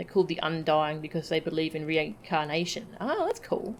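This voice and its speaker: A woman's voice: low voice, lively intonation, normal speed, a little hiss or hum. A woman streaming a game with casual commentary and playful, wry asides.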